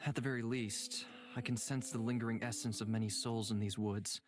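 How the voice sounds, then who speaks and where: A man's voice: mid-range voice, fairly even intonation, lightly acted. Man acting solemn, courteous mystic. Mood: solemn, calm. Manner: measured, formal. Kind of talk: visual novel voice acting. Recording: music behind the voice.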